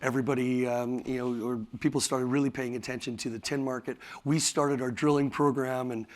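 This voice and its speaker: Raspy-voiced man giving a conference presentation. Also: medium pitch, level tone. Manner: halting, many fillers and restarts. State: voice tired from talking all day. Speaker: company executive presenting. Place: conference hall.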